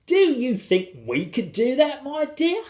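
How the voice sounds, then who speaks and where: A reader acting a high, flustered storybook mother. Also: sing-song, a little slow, darkish tone, echoey room. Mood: excited. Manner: fretful, exclaiming. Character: tiny woman, flustered, anxious, excitable mother.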